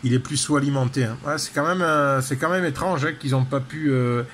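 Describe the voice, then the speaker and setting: A man's voice: mid-range voice, fairly quick, a bit bright. A man talking casually and technically as an amateur radio operator, in a hesitant, rambling way full of repeated tag words. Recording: clear background noise.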